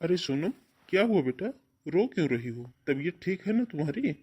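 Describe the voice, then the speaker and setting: A gentle middle-aged father, voiced by a man with a mellow tone. He sounds worried and soothing, in cartoon family melodrama style. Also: on the high side, big pitch swings, a little fast, breathy edge. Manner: concerned, soothing. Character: concerned, gentle middle-aged father comforting his child.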